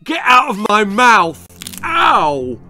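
A man's voice: high-pitched, huge pitch swings, slow pace, sharp tone, soft background music, voice pushed up, exaggerated voice. A man voicing a nasty, scornful big-sister girl in a children's story. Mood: angry, pained.

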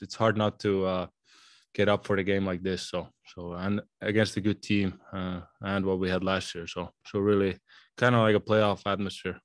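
A man in his twenties, a hockey player answering postgame questions in an arena press room into a table mic, halting and repeating words. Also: on the low side, no echo. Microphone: table mic in front.

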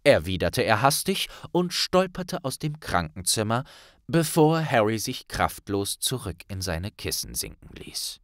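Slow-paced male narrator, dry studio sound. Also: lowish voice, big pitch swings, fairly bright tone, no echo. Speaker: audiobook narrator. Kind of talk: scripted dramatic narration of fantasy fiction.

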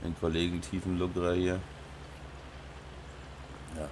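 A very deep-voiced man with a Rhine-Hessian German accent talks casually over his game, his voice hoarse and stuffy from a cold. He mumbles and fills pauses with plenty of ums. A faint hiss sits under the desk-mic recording. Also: completely flat delivery. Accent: Rhine-Hessian German dialect. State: hoarse with a sore throat and blocked nose. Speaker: let's play host. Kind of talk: casual gameplay commentary.

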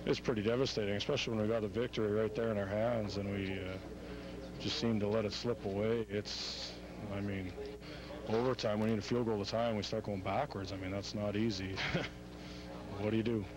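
Male player, low voice, dejected, crowd noise. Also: fairly even intonation, fairly quick, poor audio. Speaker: football player. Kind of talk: postgame interview.

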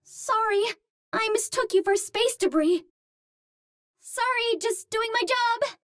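An actress playing a cute, earnest young Martian heroine in a video game, speaking politely in precise, formal phrases. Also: female voice, very high voice, takes it slowly, fairly bright tone, full character voice. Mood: upbeat, apologetic. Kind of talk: video game character voice lines.

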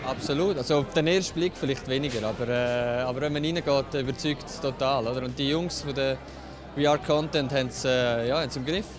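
A man's voice: mid-range voice, brisk, over music. A man in his thirties with a Swiss German accent, a restaurant owner speaking confidently into a handheld mic at a busy venue. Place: crowded indoor venue. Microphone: handheld reporter mic.

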